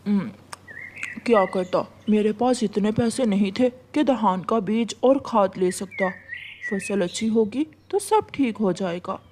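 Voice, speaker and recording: A man's voice: sits high, a little slow, a little mellow, slight background noise. A man voicing a hardworking, worried bird farmer in a cartoon, earnest and plain-spoken. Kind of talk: cartoon voice acting.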